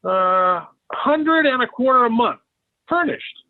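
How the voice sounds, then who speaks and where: Excitable American dad, tinny old radio. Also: male voice, extremely high pitch, slow pace, full character voice. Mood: helpful. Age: middle-aged. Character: jovial conniving neighbour father, eager sidekick. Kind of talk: 1940s American radio sitcom acting. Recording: tinny, band-limited old radio recording.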